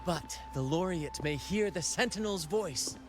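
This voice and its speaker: An actor playing a flamboyant, courteous ship captain in a video game, speaking in florid, theatrical flourishes. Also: male voice, high voice, lively intonation, a little slow, music in the background. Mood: exuberant. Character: flamboyant, courteous young male ship captain and troupe leader.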